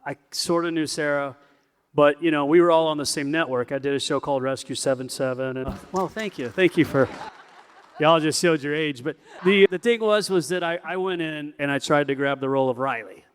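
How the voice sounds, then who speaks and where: A man on a fan panel speaking casually in a halting way, correcting himself as he goes. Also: a little high, a little hiss or hum. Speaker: actor panelist.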